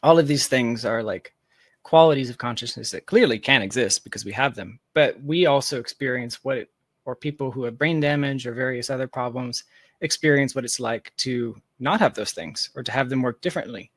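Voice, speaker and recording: A man's voice: mid-range voice, brisk, no echo. A man in a casual, reflective conversation, thinking aloud in an informal, philosophical way. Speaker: podcast guest, tech founder.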